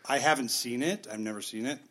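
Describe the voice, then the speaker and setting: A man's voice: mid-range voice, fast talker. A man in his thirties co-hosting a podcast, telling profane, joking stories with lots of energy. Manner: joking, profane, animated storytelling. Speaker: podcast co-host. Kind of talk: casual chat.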